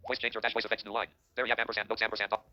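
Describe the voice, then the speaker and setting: Casting: male text-to-speech screen reader, crisp forceful tone, lowish pitch, clipped literal label reading. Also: flat delivery, takes it slowly, dull audio. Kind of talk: synthetic reading of interface labels.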